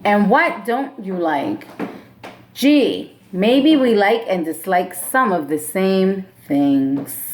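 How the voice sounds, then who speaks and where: Slow, expressive woman reading aloud. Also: mid-range voice, slight background noise. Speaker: teacher. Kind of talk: reading a picture book aloud to students; classroom instructions.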